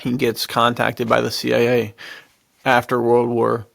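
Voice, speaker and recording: A man's voice: mid-range voice, monotone, measured pace, vocal fry, a bit bright, dry recording. A man co-hosting a podcast in casual chat, with a jokey manner. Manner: jokey, laughs through his talk. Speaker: podcast co-host.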